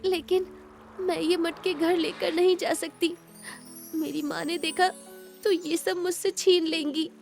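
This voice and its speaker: Woman as a very high-voiced, plaintive young girl. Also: steady tone, vocal fry, soft background music, full character voice. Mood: thoughtful. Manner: plaintive, timid, slow. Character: meek, mistreated, sorrowful young girl. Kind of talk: animated moral story acting.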